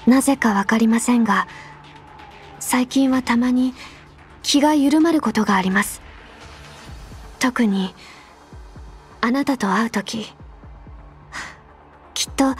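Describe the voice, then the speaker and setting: A woman voicing a composed, gentle and protective sisterly figure in an anime game, soft-spoken and deliberate with a quietly teasing edge. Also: high-pitched, slightly rough, exaggerated voice. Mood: calm, intimate. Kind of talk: Japanese anime game voice lines, intimate delivery. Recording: background music under the voice. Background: music.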